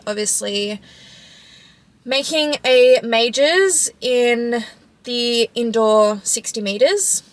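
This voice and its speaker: A woman in her mid twenties with an Australian accent, chatting casually in a vlog Q&A, informal, with frequent "like" and trailing phrases. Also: fairly high pitch. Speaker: vlogger and sprinter answering audience questions.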